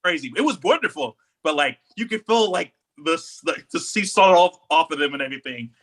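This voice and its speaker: A man with a bright, forceful voice pitched a bit high, chatting as a podcast co-host. He talks in an opinionated, rambling way and often circles back to repeat a phrase. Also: expressive, fairly quick. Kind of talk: casual chat.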